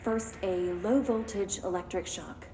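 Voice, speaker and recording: An actress playing a detached female researcher in a game, dictating a formal test log, clinical, deadpan and methodical. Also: medium pitch, a little slow, close to an everyday voice. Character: detached female lab researcher logging an experiment. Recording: echoey, noisy laboratory recording.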